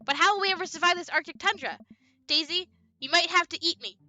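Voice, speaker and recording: A woman voicing an excitable, playful make-believer with a melodramatic, exclaiming manner. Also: extremely high pitch, fast talker, dry recording, pitched above the performer's own voice, exaggerated voice. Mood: playful, dramatic. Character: excitable make-believer playing ghost truckers, dramatic, playful.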